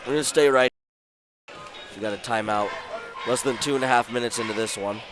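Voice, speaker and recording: A man doing play-by-play at a basketball game, his voice sore and worn from illness, calling the action in short brisk bursts. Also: medium pitch. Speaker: play-by-play announcer. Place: school gym courtside. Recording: loud crowd and gym noise behind.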